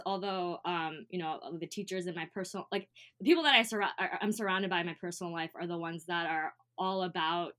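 A woman's voice: lowish voice, a bit bright. A young American woman, a guest on an interview show, answering in a hesitant, filler-heavy way. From United States. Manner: hesitant, many ums and restarts. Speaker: guest, student advocate.

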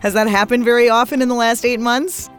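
A woman hosting a business podcast, reading a scripted intro and then interviewing guests in a studio with a bright, easy manner. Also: fairly high pitch, brisk, faint music behind. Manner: bright, lively, laughs easily. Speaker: podcast host and magazine editor.

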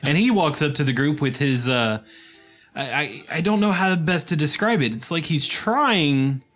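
A man's voice: fairly high pitch, lively intonation, moderate pace, a bit bright, faint music behind. A man narrating a tabletop game as game master, chatty and laughing easily.

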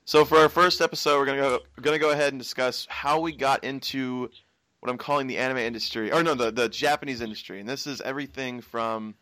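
A man's voice: medium pitch, fast talker, bright, forceful tone, dry recording. A young American man from Washington State hosting a casual podcast chat, rambling and laughing as he tells stories. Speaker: podcast host.

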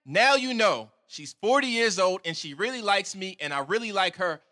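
An actor playing an eager, cocky 22-year-old son who talks in an upbeat, defiant way. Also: male voice, high voice, lively intonation, normal speed, pushed and bright. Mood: coaxing. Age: 20s.

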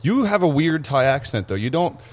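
Lively man cracking quick jokes. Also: on the high side. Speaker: comedian. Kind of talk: casual chat.

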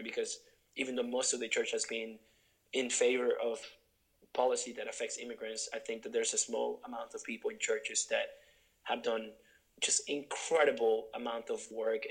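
A man's voice: medium pitch, vocal fry, low-quality recording. Earnest young man from Honduras, guest in a reflective talk, thinks aloud with restarts. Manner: earnest, searching, many restarts and fillers. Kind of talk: reflective interview conversation.

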